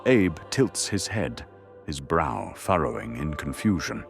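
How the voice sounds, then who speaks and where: A man's voice: extremely low pitch, very animated, measured pace, creaky. A man delivering dramatic scripted narration for an audio drama. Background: faint music.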